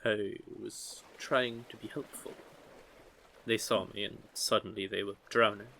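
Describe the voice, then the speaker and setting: A man voicing a curious, teasing young merman in an intimate audio roleplay, with a gently formal, measured way of talking. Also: on the low side, steady tone, vocal fry, slight background noise, heavily acted, poor audio. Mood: earnest, flustered. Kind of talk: scripted audio roleplay, intimate one-sided conversation.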